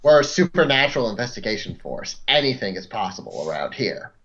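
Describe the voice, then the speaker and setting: Animated man on a dull lo-fi mic. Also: mid-range voice, brisk. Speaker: tabletop roleplaying player. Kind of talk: casual game banter. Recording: dull, lo-fi microphone.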